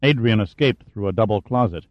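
A man's voice: lowish voice, brisk, no room sound, natural voice. A male narrator playing a tough, blunt man who talks in a curt, sardonic way. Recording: dull, muffled dry recording.

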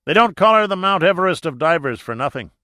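A man's voice: fairly high pitch, lively intonation, fast talker, pushed and bright, no room sound, studio quality, voice pushed up. A man voicing a hearty, blunt Irish sea captain and seasoned diver in an audiobook, with salty, colloquial talk. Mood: grave warning. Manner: colloquial, salty, vivid storytelling. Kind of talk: audiobook character dialogue.

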